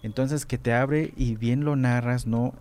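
A man's voice: mid-range voice, fairly even intonation, unhurried, a little mellow, slight background noise. A man hosting a community radio interview, greeting listeners and asking his guest questions. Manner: greets listeners formally, affirms with short murmurs. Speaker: radio host.

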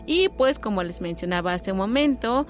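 A woman's voice: mid-range voice, lively intonation, normal speed, faint music behind. A woman whose first language is Nahuatl hosts a bilingual radio show, talking in an easygoing way with frequent filler words and friendly invitations to listeners. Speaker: radio host.

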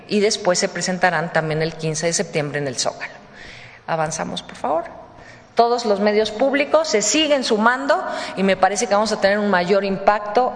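A woman with a Mexican accent giving a semi-scripted public presentation, somewhat halting as she goes. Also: medium pitch. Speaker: presenter at a government press conference. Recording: echoey hall with long reverb.

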